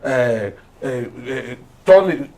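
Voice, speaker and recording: Man, Nigerian accent, political panel commentary, emphatic, halting delivery, repeats for stress. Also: mid-range voice, lively intonation, warm tone. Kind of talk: political discussion, storytelling commentary.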